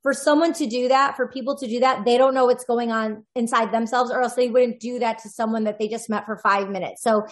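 A woman's voice: on the high side, steady tone, fairly quick, hardly any pauses. A woman voiceover artist, a podcast guest, talking in a reflective, rambling way with many restarts. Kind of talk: casual conversational interview.

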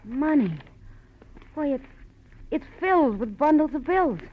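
An actress playing an anxious, indignant widow in a classic radio detective drama, dramatic and precise. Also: female voice, high voice, expressive, measured pace. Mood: astonished. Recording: very muffled, noisy old radio recording.

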